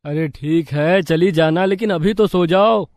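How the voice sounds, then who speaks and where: An actor playing an exasperated, henpecked young husband in a cartoon, flustered and pleading. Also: male voice, high voice, unhurried, a little mellow, no room sound, clean studio sound. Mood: puzzled, pleading.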